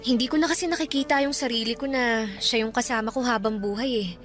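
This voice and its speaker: A woman acting as a gentle, hesitant young engaged woman in a radio drama, speaking earnestly and conversationally. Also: a little high, fairly even intonation, fast talker, close to an everyday voice. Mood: troubled, hesitant. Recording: music bed behind studio dialogue.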